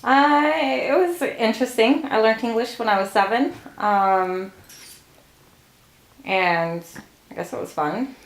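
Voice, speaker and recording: A woman's voice: fairly high pitch, takes it slowly, pauses often, roomy sound. A woman in her late thirties, born in Portugal, answering family interview questions with frequent laughter. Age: late 30s. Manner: laughs often, relaxed storytelling.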